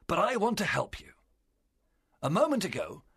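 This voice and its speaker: Fierce storybook pirate captain: bright, forceful rasp, wildly swooping, commanding and cunning. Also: male voice, high-pitched, huge pitch swings, no room sound, clean studio sound, pitched above the performer's own voice, full character voice. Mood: sly, conspiring. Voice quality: strained, gravelly rasp. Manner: theatrical, commanding, drawn-out delivery. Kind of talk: dramatized audiobook character voice.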